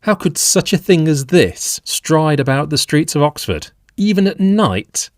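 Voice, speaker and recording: An actor playing a level-headed, sceptical Victorian gentleman and avuncular friend in an audio drama, reasoning in formal period speech. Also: on the high side, big pitch swings, unhurried, no echo, clean studio sound.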